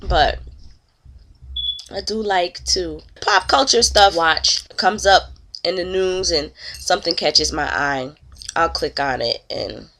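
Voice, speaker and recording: Slow, congested woman vlogger. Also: fairly low pitch, noisy background. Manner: chatty, openly disgusted commentary. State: congested, nasal from a cold. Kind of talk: casual gossip chat. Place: at home, indoors.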